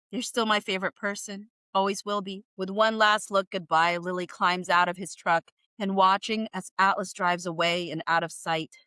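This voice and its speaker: A woman, reading a script aloud and reacting to it in an earnest, emotional, expressive way. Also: mid-range voice, clean tone, dry recording. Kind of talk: dramatic script read-aloud with casual reactions.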